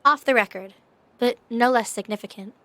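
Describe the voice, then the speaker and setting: A woman playing a composed, gracious young leader in an audio drama, measured and gently formal. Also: a little high, lightly acted. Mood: reflective.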